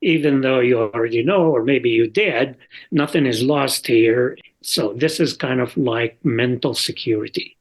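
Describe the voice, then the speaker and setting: An older man, a father talking casually and reflectively in an interview, halting, with non-native English phrasing. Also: mid-range voice.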